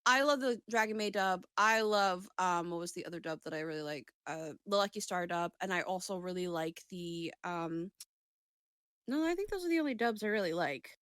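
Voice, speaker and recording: A woman's voice: on the low side, lively intonation, normal speed, fairly bright tone, no room sound, studio quality. A woman co-hosting a podcast, chatting casually in a rambling, sweary way. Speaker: podcast host. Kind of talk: casual chat.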